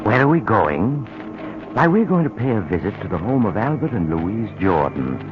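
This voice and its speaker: A man's voice: on the low side, very animated, moderate pace. An actor playing a sinister male narrator who leads listeners into a creepy radio tale, in 1940s radio style. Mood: ominous. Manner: teasing, ominous delivery. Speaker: narrator host. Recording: muffled old radio broadcast with hiss.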